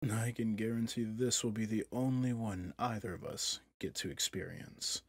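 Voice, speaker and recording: An actor playing a possessive, manipulative villain prince in an ASMR roleplay drama, speaking softly in measured, courtly phrases with a breathy, husky voice. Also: male voice, fairly low pitch, dry recording, clean studio sound. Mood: possessive, coaxing.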